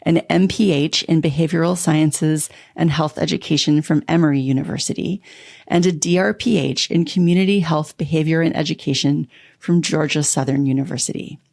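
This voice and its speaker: A woman's voice: deep voice, fairly even intonation, a little fast, creaky, darkish tone. A woman hosting a podcast, reading a guest introduction and then chatting casually and effusively, with many fillers and laughs. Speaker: podcast host. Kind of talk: casual interview chat.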